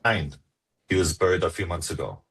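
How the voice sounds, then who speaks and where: A businesslike estate lawyer in a comedy reading, played by a man. His voice is low and husky. He is formal and matter-of-fact. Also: a little fast, a bit bright, heavily acted. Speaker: actor reading at a script table read.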